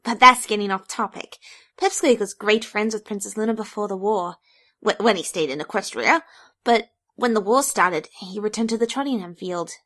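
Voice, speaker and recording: A woman's voice: medium pitch, normal speed, fairly bright tone, no room sound, lightly acted. A woman voicing an eager, bookish young female pony in an audio drama, earnest and easily flustered. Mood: earnest, explaining. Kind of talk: animated audio drama acting.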